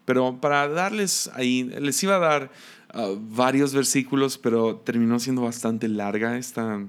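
A man's voice: mid-range voice, moderate pace, smooth. A man giving an informal Bible lesson, hesitant, with many filler sounds and restarts. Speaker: Bible teacher.

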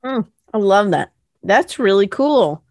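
A woman's voice: mid-range voice, expressive, slow pace, darkish tone, no room sound. Enthusiastic woman hosting an interview. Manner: enthusiastic, effusive praise. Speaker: host. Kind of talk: casual interview.

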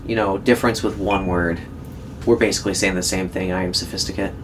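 A man's voice: deep voice, fairly even intonation, fast talker, background noise. A man hosting a casual book discussion podcast, joking about himself and steering the talk. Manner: self-deprecating, joking, leads the discussion. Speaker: podcast host. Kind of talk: casual book club chat.

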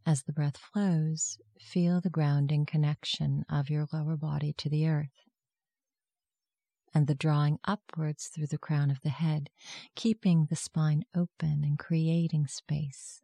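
A meditation guide giving gentle, soft-spoken, measured instructions in a scripted guided meditation. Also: medium pitch, flat delivery, creaky, dry recording.

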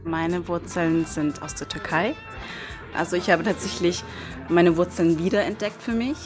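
A woman's voice: lowish voice, fairly even intonation, moderate pace, over music. A woman in her twenties from near Stuttgart, a psychology student, answering interview questions thoughtfully, with some restarts. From Germany, near Stuttgart. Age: 20s.

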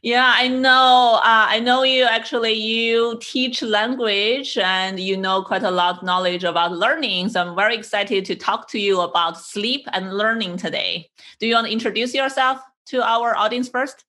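A woman's voice: fairly high pitch, pushed and bright. A woman hosting a podcast, chatty and enthusiastic as she asks questions. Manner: enthusiastic, chatty, quick laughs. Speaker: podcast host. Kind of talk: podcast interview.

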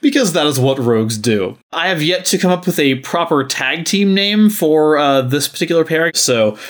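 A man's voice: a little high, animated, normal speed. A man hosting a podcast, chatting casually and making announcements. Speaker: podcast host.

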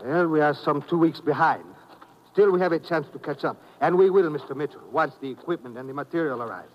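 A man played by a radio actor speaks in a somewhat high voice with careful, formal courtesy and earnestness. He is an engineer in a 1950s adventure serial, heard on a dull vintage recording. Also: fairly quick, slight background noise, close to an everyday voice. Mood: grave, earnest. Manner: formal, careful phrasing without contractions. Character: courteous, earnest foreign engineer running a road project. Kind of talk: 1950s radio drama acting.

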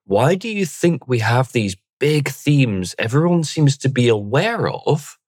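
A man hosting a podcast interview, asking his questions in a measured, thoughtful way. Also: medium pitch, sing-song, a little mellow, no echo, clean studio sound. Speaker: podcast host.

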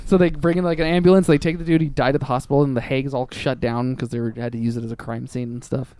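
A man co-hosting a casual podcast chat, telling news stories in an animated way and acting out what people said. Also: medium pitch, very fast, clear, resonant tone, dry recording. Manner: animated storyteller who acts out quotes. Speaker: podcast co-host.

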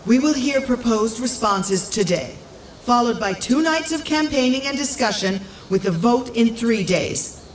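A woman playing a dutiful elderly grandmother who leads a village, speaking formally and with measured gravity in a fantasy audio drama. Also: on the high side, lively intonation, roomy sound, slight background noise. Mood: solemn, presiding.

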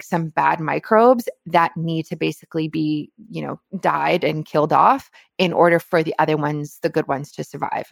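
A woman's voice: lowish voice, gravelly. A young woman hosting a podcast, talking casually with lots of fillers and rambling asides. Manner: chatty, filler-heavy, rambling. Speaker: podcast host.

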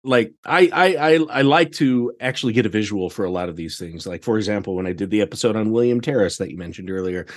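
A man's voice: lowish voice, expressive. An American man talking casually as a podcast guest, often circling back over words as he searches for the next one. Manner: halting, searching delivery with repeated words. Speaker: podcast guest, theatre director. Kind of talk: casual interview conversation.

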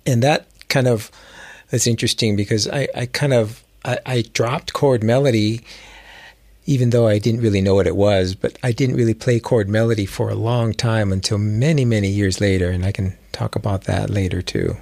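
A man's voice: fairly low pitch, steady tone, normal speed, creaky, a little mellow, dry recording. Reflective man chatting in a close, dry recording. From Hawaii, United States. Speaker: guest musician and music instructor. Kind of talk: casual interview storytelling.

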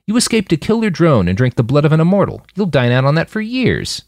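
A man's voice: on the high side, big pitch swings, quick pace, a little mellow, no room sound, studio quality, voice pushed up. A man voicing a wary but polite young male fixer in an audiobook, with casual, hesitant, slangy speech. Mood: urging, reassuring.

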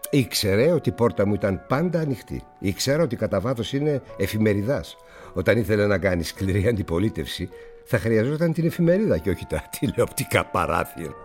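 An actor playing a worldly, condescending older newspaper publisher in a radio drama, speaking with musing pauses. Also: male voice, fairly low pitch, a little mellow. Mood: dismissive, assured. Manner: theatrical, patronizing, with musing pauses.

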